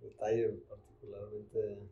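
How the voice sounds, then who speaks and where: Laid-back Mexican podcast host. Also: male voice, lowish voice, completely flat delivery, measured pace, vocal fry, mellow, lo-fi sound. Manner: laid-back, constant slang fillers. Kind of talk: casual conversation.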